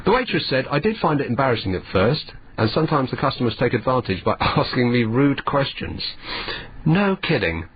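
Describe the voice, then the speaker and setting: A man hosting a late-night radio phone-in, hoarse and cheeky, teasing his callers with dry humor. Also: medium pitch, sing-song, brisk, no echo, muffled recording. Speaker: radio host.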